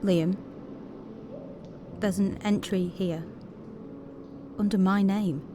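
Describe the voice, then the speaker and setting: Actress as a slow-speaking, anxious woman, music behind. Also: medium pitch, fairly even intonation, close to an everyday voice. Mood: anxious, confused. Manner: halting, searching, emotionally raw. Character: anxious woman discovering she is part of a machine. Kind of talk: video game voice acting. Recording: background music under voice.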